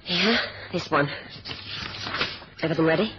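An actress playing an admiring, conspiratorial kidnapper's accomplice in a 1970s radio drama. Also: female voice, sits low, normal speed, background noise, close to an everyday voice. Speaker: actor. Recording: dull old radio recording.

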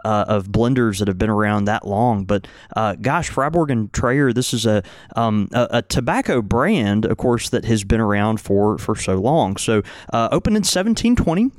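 A man's voice: lowish voice, animated, moderate pace, few pauses, dry recording, studio quality. A man co-hosting a casual podcast, chatting in a wry, easygoing way. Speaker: podcast co-host.

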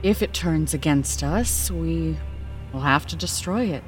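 A woman playing a weary, guarded young queen in a fantasy horror audio drama, measured, deferential and solemn. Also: deep voice, faint music behind.

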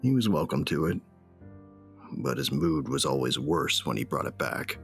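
A man's voice: a bass voice, animated, moderate pace, a bit bright, soft background music, heavily acted. An actor playing a grieving, hard-drinking bounty hunter who narrates his story in a subdued, weary, reflective way in an audio drama. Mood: wistful. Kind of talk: first-person audio drama narration and dialogue.

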